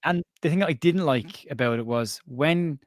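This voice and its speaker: A man's voice: fairly high pitch, moderate pace, no echo, studio quality. An Irish man from Ireland hosting a casual football chat over a live stream, rambling and chatty, often interrupting himself. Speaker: podcast host. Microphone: remote stream call.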